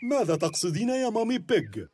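A jolly daddy pig. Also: male voice, sits high, wildly swooping pitch, fast talker, background noise. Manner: jolly, reassuring. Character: easygoing father pig, cheerful and sure of himself.

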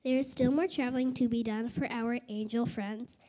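A woman's voice: on the high side, steady tone, moderate pace, mellow. A woman reading scripted narration for a church Christmas program. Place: church hall.

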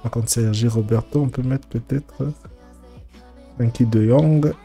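A man's voice: medium pitch, dark tone, soft background music. Congested man casually commentating a football game. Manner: halting, repeats words while thinking aloud. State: congested with a cold. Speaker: gaming streamer.

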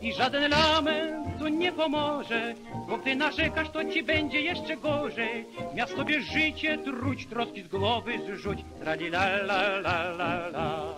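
Old 1930s polish guy crooning slow and high over a jazz band, vintage record sound. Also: pushed and bright, no room sound, faint music behind. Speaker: singer. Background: dance jazz orchestra.

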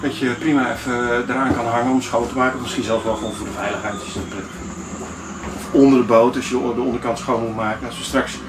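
A man's voice: mid-range voice, steady tone, quick pace. Hesitant man in a roomy, noisy recording. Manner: hesitant, searching for words. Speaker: sailing vlogger. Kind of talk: informal demonstration talk to camera. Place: inside a sailboat cabin at the navigation desk. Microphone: camera a few steps away. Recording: roomy, distant mic, clearly noisy.

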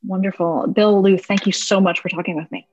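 A woman's voice: mid-range voice, steady tone, a little fast, a little mellow, dull audio. A woman hosting a music podcast, speaking enthusiastically and with emphasis to her guest and her listeners. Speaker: podcast host. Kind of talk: interview and scripted outro.

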